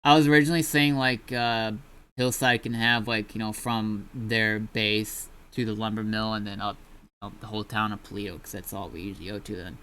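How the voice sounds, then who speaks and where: A man's voice: on the low side, flat delivery, a little fast, fairly bright tone, clean tone. A man chatting casually as an online roleplay gamer, in a hesitant, rambling way. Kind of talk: casual chat.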